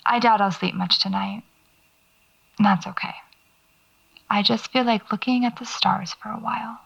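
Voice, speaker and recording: A woman's voice: medium pitch, fairly even intonation, normal speed, creaky, fairly bright tone, husky, full character voice. A woman playing a reflective, awed horror writer, recounting her experience as an audio drama monologue. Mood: relieved, pensive. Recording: dull tape recording, faint music.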